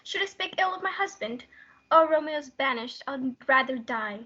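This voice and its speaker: High-voiced Juliet played by a woman, muffled. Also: animated, moderate pace, sharp tone. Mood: defiant, anguished. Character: Juliet, devoted, anguished young bride. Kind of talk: student readers theatre performance. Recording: muffled, noisy lo-fi home recording.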